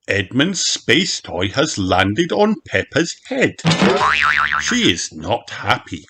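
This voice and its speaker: A man narrating a children's storybook aloud in an expressive, deliberate way for small children. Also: mid-range voice, slightly rough. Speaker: audiobook narrator.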